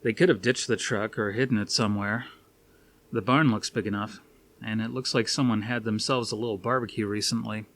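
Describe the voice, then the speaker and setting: A man voicing a calm, self-assured, weary male scientist in a storybook reading, dry and matter-of-fact. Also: fairly low pitch, a little fast.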